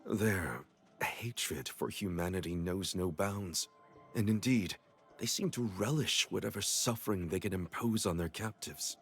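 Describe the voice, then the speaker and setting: A man playing a grave, watchful informant in a fantasy video game, speaking in a husky, measured, earnest way. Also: lowish voice. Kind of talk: video game character dialogue.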